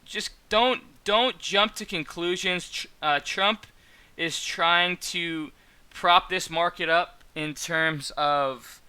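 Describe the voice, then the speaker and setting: Bright-voiced young man with a headset. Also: sits high, slow, clean tone. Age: 20s. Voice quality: slightly stuffy nasal. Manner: rambling, repeats words, emphatic asides. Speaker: day trader streamer. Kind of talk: casual market commentary. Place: small room indoors.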